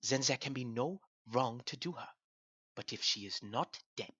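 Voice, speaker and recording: An actor playing a grave, commanding older professor who hunts vampires, with solemn, urgent pronouncements in a radio drama. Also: male voice, medium pitch, lively intonation, normal speed, husky, dry recording, muffled recording. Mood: grave, persuasive. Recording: loud music under the voice.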